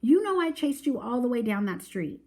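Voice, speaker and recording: Bossy kid sister, played by a woman reader, storybook character, high-pitched, demanding, dramatic, exasperated. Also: very animated, normal speed, a little mellow. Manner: demanding, dramatic, imitating her scolding mother. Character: bossy, exasperated little girl scolding her older brother. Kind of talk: storybook read-aloud character voice.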